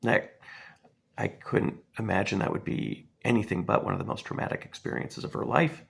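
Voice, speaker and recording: A man in his fifties, hoarse from a cold, giving a halting, earnest commentary with many pauses and fillers. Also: lowish voice, huge pitch swings. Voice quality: gravelly and husky. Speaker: response video maker. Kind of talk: casual spoken commentary.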